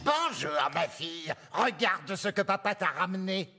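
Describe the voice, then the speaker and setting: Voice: medium pitch, lively intonation, measured pace, close to an everyday voice. An actor voicing a possessive, pompous fairy-tale king and stubborn father in a theatrical way for a children's cartoon. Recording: loud music behind the voice.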